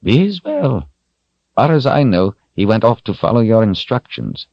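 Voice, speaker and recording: A man voicing a calm, gentle old wizard who speaks softly and evenly, often close to a whisper. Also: lowish voice, gravelly, no room sound, highs cut off, voice pushed up, heavily acted. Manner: soft, measured, often near a whisper.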